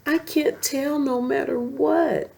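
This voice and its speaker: Woman as a young boy lion cub, high, pleading, loyal, earnest, storybook character. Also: steady tone, takes it slowly, gravelly, dark tone, full character voice. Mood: troubled.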